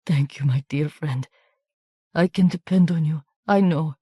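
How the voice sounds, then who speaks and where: A woman's voice: deep voice, unhurried, mellow, husky, no echo, studio quality, full character voice. A woman voicing a grieving, scheming baroness in an audiobook.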